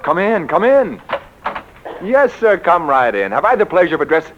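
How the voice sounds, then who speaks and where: A man's voice: high-pitched, big pitch swings, normal speed, noisy background. An actor playing an exasperated, sputtering male publisher in a 1940s radio comedy-drama. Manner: indignant, sputtering, stammering. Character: flustered, exasperated male book publisher and boss. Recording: muffled old 1940s radio recording.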